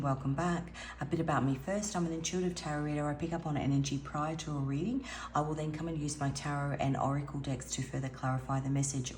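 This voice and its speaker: A low-pitched woman with a raspy voice talks through a spiritual tarot reading in an earnest, wandering way. She restarts her sentences often. The recording is roomy and echoey, with a faint hiss underneath. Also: a little mellow. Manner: meandering, earnest, with frequent restarts. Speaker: intuitive tarot reader. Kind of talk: spiritual card reading monologue. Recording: echoey room with faint background noise.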